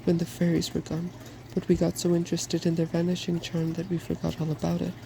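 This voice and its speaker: A soft-spoken storybook reader voicing a frank, earnest and weary dragon. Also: mid-range voice, monotone, brisk, gravelly, slight background noise, lightly acted.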